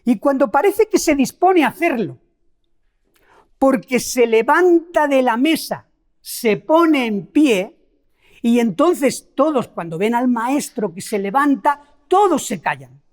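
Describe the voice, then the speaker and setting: Middle-aged female preacher from Spain, Spain Spanish accent, fairly high voice, lively emphatic delivery. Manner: animated, rhetorical questions to the congregation; emphatic, slows down and stresses key words. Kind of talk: sermon.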